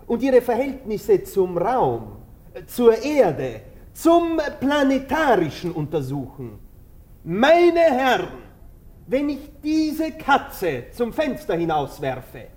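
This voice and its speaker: A callous, self-important doctor in a radio play, holding forth to students. His high voice swoops wildly, and he drags out his words with manic condescension. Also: a little mellow, exaggerated voice. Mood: pompous lecturing. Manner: pompous, exclamatory, theatrical lecturing. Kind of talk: radio drama acting.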